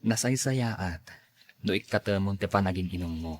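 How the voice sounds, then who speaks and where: A man's voice: deep voice, normal speed, darkish tone, dry recording. An actor playing a kind, patient young man advising the woman he loves, in a radio drama. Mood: gentle, advising. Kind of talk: radio drama acting in dialogue.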